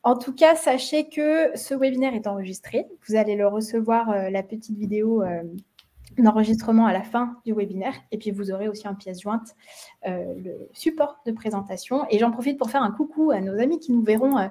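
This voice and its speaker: A woman's voice: fairly high pitch, a little fast, mellow. Parisian French woman hosting a webinar. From France. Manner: hesitant, many fillers and restarts. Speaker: marketing webinar host. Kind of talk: webinar presentation.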